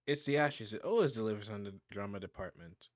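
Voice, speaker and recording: A man's voice: on the low side, animated, quick pace. A man giving casual, rambling reaction commentary on a video, often restarting and laughing. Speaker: YouTube reaction host.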